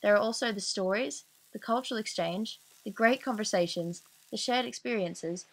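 A woman's voice: medium pitch, moderate pace, poor audio. A young woman student presenting a program in an upbeat, scripted voice-over. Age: young adult. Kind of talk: scripted promotional narration. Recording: voice-over with clear background music.

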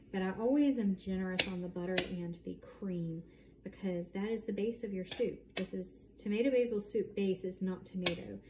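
Chatty woman in a noisy kitchen. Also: mid-range voice. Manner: chatty, explanatory asides while working. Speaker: home cooking vlogger. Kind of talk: casual cooking demonstration, talking to camera. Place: home kitchen, indoors at night. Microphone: camera mic a short distance away. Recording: clearly noisy home kitchen recording.

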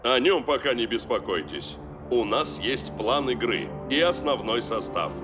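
A man's voice: mid-range voice, animated, unhurried, close to an everyday voice. An actor voicing a firm middle-aged male soccer coach in a dubbed cartoon. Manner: firm, scolding. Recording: cartoon dub with music behind.

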